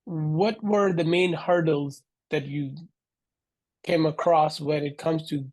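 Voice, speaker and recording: A man's voice: fairly high pitch, fairly even intonation, darkish tone. Man hosting a podcast, casual. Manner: reads promo quickly, casual questions with fillers. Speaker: podcast host. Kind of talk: podcast intro and interview questions.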